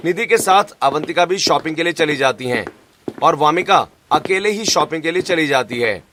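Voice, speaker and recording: A man's voice: on the high side, lively intonation, moderate pace, sharp tone, slight background noise. A man reading scripted narration for a cartoon family story.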